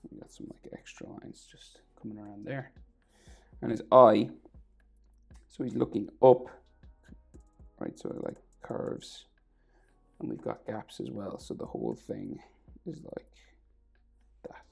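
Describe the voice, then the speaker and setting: A man with a sore throat and a crackly, gravelly voice, talking casually through a drawing tutorial, often trailing off to a near whisper. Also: lowish voice, sing-song, measured pace, pauses often, darkish tone, faint music behind.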